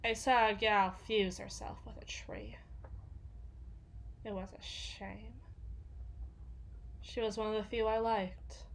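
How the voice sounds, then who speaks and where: Woman playing a slow-talking, sardonic female villain bodyguard. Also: medium pitch, fairly even intonation, a little hiss or hum. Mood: wistful grief. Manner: sweary, sardonic, halting, confiding. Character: gruff, foul-mouthed female villain's bodyguard, sardonic and haunted. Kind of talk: ASMR audio roleplay, intimate dramatic monologue.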